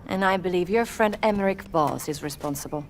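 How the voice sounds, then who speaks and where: A woman playing a sharp, guarded investigative journalist with an Italian accent in a cinematic game, probing and self-assured. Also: fairly low pitch, a little fast, slight background noise, close to an everyday voice. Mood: earnest.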